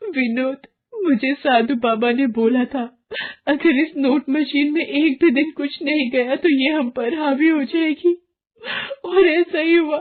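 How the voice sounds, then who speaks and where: Woman acting a high, melodramatic greedy young housewife. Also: fairly even intonation, slow pace, phone-quality sound. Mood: panicked, weeping. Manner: emphatic, melodramatic. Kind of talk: Hindi moral-story cartoon dialogue.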